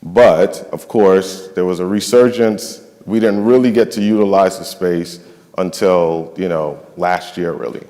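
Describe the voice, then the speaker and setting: A man's voice: sits low, unhurried, warm tone, in a large hall. A young man from the Bahamas, a nonprofit finance and facilities staffer, speaking on a summit panel with many ums and restarts. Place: large event hall.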